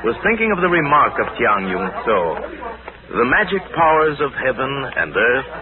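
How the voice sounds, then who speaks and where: An actor playing a calm, learned gentleman adventurer in a 1940s radio drama, speaking in a measured, formal way. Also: mid-range voice, lightly acted. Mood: courtly, calm. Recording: tinny vintage radio recording, crowd behind.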